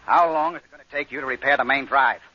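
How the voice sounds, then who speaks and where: An actor playing a commanding, curt spaceship captain in an old science fiction radio drama, speaking in a clipped, authoritative way. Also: male voice, mid-range voice, fast, breathy edge, lightly acted. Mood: angry, exasperated. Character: hard-pressed, commanding male spaceship captain, curt and gruff. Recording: dull old radio recording, faint noise.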